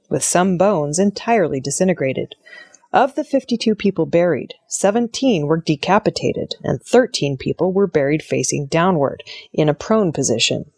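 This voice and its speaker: A woman with a fairly low voice reads prepared news stories in a measured, formal way. The recording is dry and close, with little sense of a room around her. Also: a little mellow, no room sound. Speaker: news reader. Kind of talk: scripted news narration.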